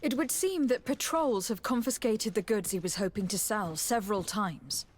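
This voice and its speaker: A woman's voice: medium pitch, moderate pace, natural voice. A woman playing a composed, courteous noble diplomat in a video game, speaking politely and formally. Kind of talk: video game dialogue acting.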